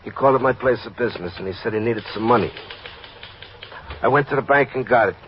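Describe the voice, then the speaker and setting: A man's voice: mid-range voice, fast, close to an everyday voice. Man acting agitated, pleading husband in muffled old radio. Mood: pleading, subdued. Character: distraught middle-aged husband, stubbornly loyal to his criminal brother. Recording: dull, hissy old radio recording.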